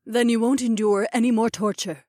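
A man's voice: very high voice, steady tone, normal speed, a little mellow, dry recording, studio quality, voice pushed up. A man voicing an earnest young princess who is eager to help.

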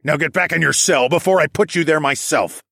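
A man's voice: mid-range voice, expressive, moderate pace, a bit bright, no room sound, clean studio sound. A man voicing a fierce, smug dragon shifter hero with a firm, threatening manner in a fantasy audiobook. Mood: angry.

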